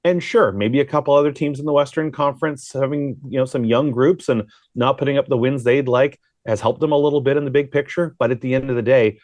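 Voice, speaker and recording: A man with a medium-pitched voice talks sports like a seasoned broadcaster in conversation. He is talkative and informal, wandering into asides as he analyzes. The recording is dry, with hardly any room sound. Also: steady tone, fairly quick, few pauses, a little mellow, no echo.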